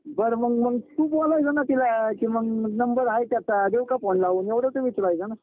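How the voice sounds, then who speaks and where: A man's voice: very high-pitched, normal speed. A young man chatting casually with a friend in an insistent, blunt, repetitive way on a phone call. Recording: narrow, tinny phone call recording.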